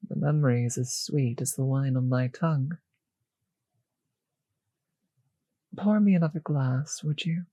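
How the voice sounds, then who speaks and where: A woman playing a possessive, teasing vampire mistress in an intimate roleplay audio drama, speaking slowly and condescendingly with a breathy voice that often sinks to a whisper. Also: sits low, dark tone, dry recording, heavily acted. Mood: possessive, indulgent.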